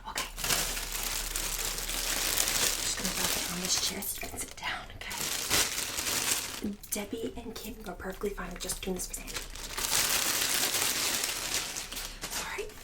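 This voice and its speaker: A woman's voice: mid-range voice, steady tone, fairly quick, distant mic, heavy background noise, natural voice. A woman playing a snobbish, bossy suburban book club hostess in an ASMR roleplay, condescending and catty with fake-sweet insults. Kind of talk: ASMR roleplay, whispered and soft-spoken.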